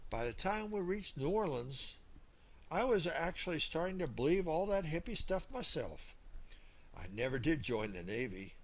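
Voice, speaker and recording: A male narrator reads a first-person memoir in a storytelling style. His voice sits a little higher than most men's. The intonation is very lively, rising and falling widely from phrase to phrase. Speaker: audiobook narrator.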